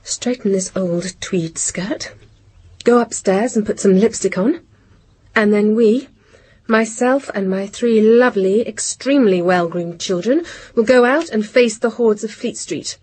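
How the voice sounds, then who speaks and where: An actress playing a brisk, self-possessed 1960s British housewife and mother in a radio drama. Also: mid-range voice, darkish tone, breathy edge, low-quality recording. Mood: calm resolve. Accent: British English.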